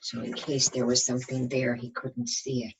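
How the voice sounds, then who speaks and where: Low, husky woman reading to children. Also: little pitch movement, moderate pace, rough recording. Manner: asks the listeners questions, dramatic emphasis. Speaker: kindergarten teacher reading a picture book. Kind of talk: expressive read-aloud for young children.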